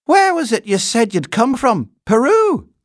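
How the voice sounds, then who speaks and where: Storybook housekeeper, older woman: very high-pitched, wildly swooping, fussy, fierce, motherly, blunt. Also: very animated, unhurried, dry recording, clean studio sound. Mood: brusque, grudging. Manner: exclamatory, fussing, blunt.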